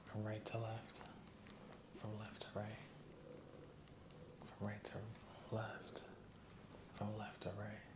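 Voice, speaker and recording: A man playing a patient in an ASMR medical roleplay, giving short, quiet, cooperative answers in a subdued way. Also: on the low side, monotone, slow, long pauses, roomy sound, noisy background.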